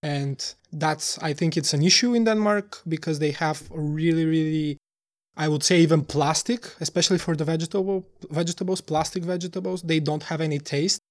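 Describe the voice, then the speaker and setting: A man's voice: a little high, steady tone, fairly quick. A man, a startup co-founder answering interview questions earnestly, often hunting for words. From Bulgaria. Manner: earnest, searching for words, many restarts.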